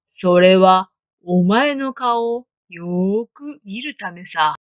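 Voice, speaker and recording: Woman putting on a slow, sly storybook wolf. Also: medium pitch, lively intonation, dry recording, dull audio. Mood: gloating. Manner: slow, gloating, drawn-out menace. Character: sly hungry male wolf, scheming. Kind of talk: storybook read-aloud.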